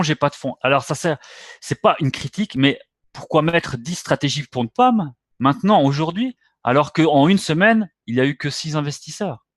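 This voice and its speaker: A man's voice: fairly high pitch, dry recording, clean studio sound. A man hosting a trading webinar, talking bluntly and lecturing his viewers directly. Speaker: webinar host.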